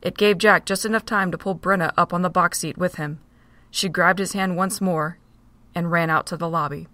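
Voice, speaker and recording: A woman narrating a fan-made audio drama in a steady, even reading voice. Her voice sits a little low and is recorded dry and close. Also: moderate pace, no echo. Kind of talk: storybook narration.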